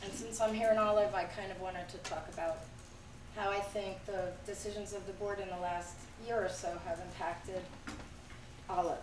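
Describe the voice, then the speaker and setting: A woman with a hoarse voice from a cold, giving a public comment at a town meeting from notes. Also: medium pitch, steady tone. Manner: reading from notes, brisk but halting. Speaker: school board candidate. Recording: echoey room from far off, faint noise.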